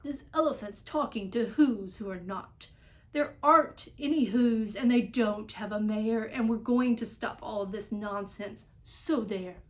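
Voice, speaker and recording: A woman voicing rowdy, scornful male jungle monkeys in a storybook, bossy and shouting. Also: on the high side, unhurried, natural voice.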